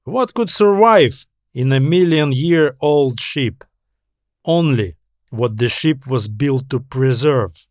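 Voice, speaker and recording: Male narrator, scripted sci-fi story, unhurried delivery, close dry sound. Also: medium pitch, expressive, no room sound. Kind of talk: scripted audiobook narration of science fiction.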